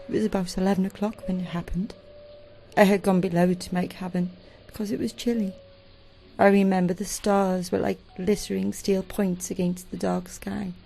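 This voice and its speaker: A woman acting a distressed, weary married shipwreck survivor in a radio play, recalling it haltingly and dramatically. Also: medium pitch, creaky, warm tone. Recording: community radio play with faint music.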